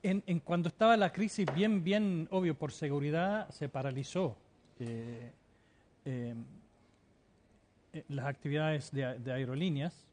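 A man's voice: on the high side, measured pace, darkish tone, a little hiss or hum. A man giving a TV interview, answering fervently and insistently, often hesitating and repeating words. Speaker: interview guest living in the Emirates.

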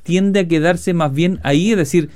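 A man's voice: high voice, moderate pace, darkish tone, clean tone. A man with a Chilean accent, working as a radio host who interviews conversationally and reads out announcements.

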